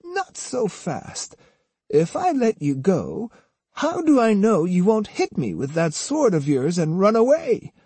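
Man voicing a cunning, high-pitched old woman. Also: wildly swooping pitch, takes it slowly, mellow, husky, no room sound, full character voice. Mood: suspicious. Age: older. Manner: wheedling, earnestly poignant false sweetness. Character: cunning, sneering old Japanese woman feigning gentle sincerity. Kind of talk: audiobook character voice.

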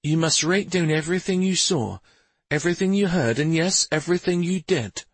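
A man voicing a gentle but firm princess in a fantasy audiobook, with a mild, measured manner. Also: fairly high pitch, animated, no echo, pitched above the performer's own voice. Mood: grave, firm. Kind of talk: fantasy audiobook character dialogue.

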